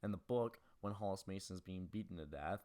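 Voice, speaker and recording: A man with a deep voice narrates a scripted video essay on film. He moves quickly through his analysis. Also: darkish tone, faint music behind.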